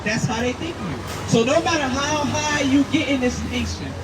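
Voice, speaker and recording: A man preaching outdoors, forceful and emphatic, repeating his points. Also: very high-pitched, moderate pace. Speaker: street preacher. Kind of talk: street preaching. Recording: distant mic with loud street noise.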